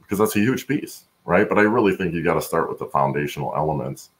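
Super deep gravelly guy, middle aged, halting explainer on a video call interview. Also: animated. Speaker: guest, supply chain expert. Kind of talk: interview answers, explaining work practice. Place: room at home, on a video call.

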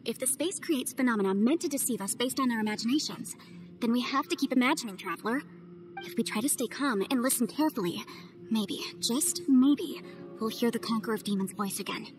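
Game voice: woman playing a bright-voiced, analytical young adviser, high pitch, music underneath. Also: a little fast, natural voice. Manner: analytical, earnest, persuasive. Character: sharp, confident young woman legal adviser.

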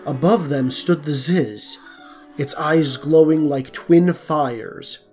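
A man's voice: fairly high pitch, slow pace, a little mellow, soft background music. A man narrating a scripted folk tale as the storyteller of an audio drama.

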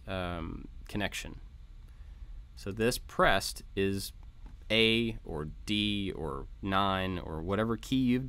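Low-pitched man explaining code informally. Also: a bit bright. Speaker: host of a maker tutorial channel. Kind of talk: informal code walkthrough narration. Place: voice-over for a screen recording.

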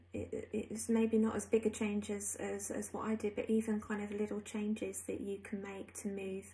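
A woman in her early forties telling a personal story to camera in a reflective, hesitant way with pauses. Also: mid-range voice, creaky, darkish tone, poor audio. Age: early 40s. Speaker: freelance graphic designer sharing a personal story. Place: small indoor room at home.

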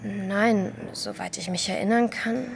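A woman's voice: mid-range voice, fairly even intonation, a little slow, creaky, slight background noise. An actress playing a reserved, somewhat hesitant young woman in an audio drama. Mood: subdued. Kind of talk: German audio drama acting.

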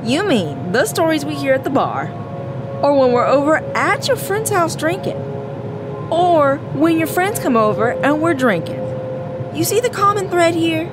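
A woman's voice: high-pitched, very animated, moderate pace, noisy background. A woman acting an exasperated, fearless, teasing partner in an audio drama, with a no-nonsense, mocking way of talking. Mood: teasing, dismissive.

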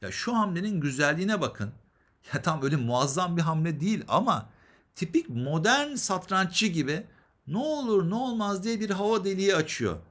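A man's voice: high-pitched, big pitch swings, moderate pace, a bit bright. A man giving chess game commentary as an instructor, explaining move by move and putting rhetorical questions to the viewers.

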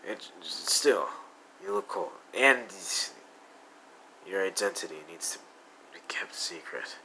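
A man's voice: deep voice, animated, takes it slowly, pushed and bright, a little hiss or hum, low-quality recording. A man voicing a brooding young male villain with blue fire, muttering and sometimes whispering in a fan audio roleplay.

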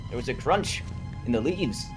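A man's voice: medium pitch, expressive, moderate pace, lightly acted. A man playing a wary, earnest lumber worker spooked by forest noises in an improvised video game roleplay. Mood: uneasy, alert. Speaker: roleplay gamer. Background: game sounds and music.

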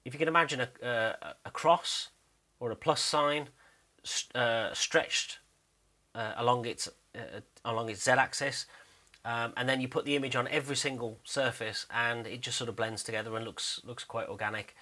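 A man's voice: medium pitch, fairly even intonation, moderate pace, bright, forceful tone. A man giving a casual, unscripted commentary over a screen recording, explaining his animation work and thinking aloud as he goes. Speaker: 3D animator narrating behind-the-scenes work.

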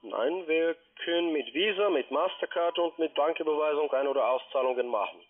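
A man's voice: fairly high pitch, lively intonation, a little fast. Polite salesman on a narrow telephone line. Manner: polite, non-native German with grammar slips. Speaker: call center sales agent. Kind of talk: phone sales pitch. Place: phone call. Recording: band-limited telephone line.

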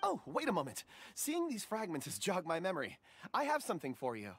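An actor playing a gentle, polite young scholar with a soft, courteous voice. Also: male voice, high-pitched, huge pitch swings, a little fast, background noise, full character voice. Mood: warm. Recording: game audio with faint ambience.

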